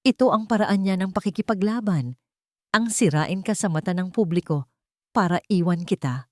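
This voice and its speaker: A woman's voice: mid-range voice, normal speed, no echo, natural voice. A grim, wealthy male executive voiced by a woman narrator in a romance audio story. He is commanding, angry and protective. The recording is dry. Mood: grim, bitter.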